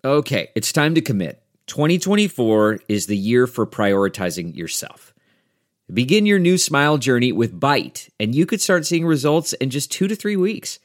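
A man's voice: mid-range voice, big pitch swings, normal speed. A man giving a scripted commercial read as an advertising voice-over.

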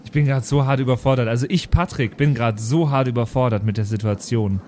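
A man's voice: mid-range voice, a little fast, a little mellow. A man chatting casually as a player in a role-play podcast. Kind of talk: casual banter. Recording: faint background noise.

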